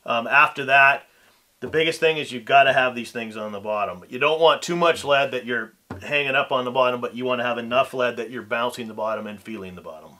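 A man in his thirties, a co-host, casually explaining tips in a relaxed, hesitant way. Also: mid-range voice, a little fast. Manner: relaxed, hesitant with ums and restarts. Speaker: fishing pro staffer and co-host. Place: small studio set with backdrop.

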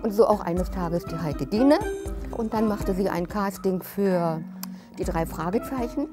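An older woman, a former casting agent, reminiscing at a slow, measured pace in a large concert hall. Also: medium pitch. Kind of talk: casual reminiscing. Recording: music in a big hall.

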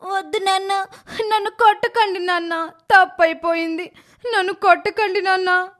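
A man voicing a timid, eager little schoolgirl in a storybook tale, in a childlike, high, drawn-out voice. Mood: pleading.

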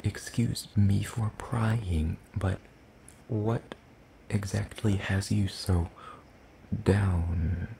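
Gentle, curious demon played by a man for ASMR roleplay: low, mellow, soft-spoken, hesitant and courtly in phrasing. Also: creaky, a little hiss or hum, heavily acted. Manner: hesitant, formal, slow and deliberate. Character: gentle, curious, one-eyed soul-leeching demon creature.

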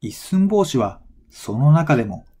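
A man reading a folktale as a scripted narration for language learners, in measured, clearly phrased storytelling. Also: medium pitch, big pitch swings, mellow.

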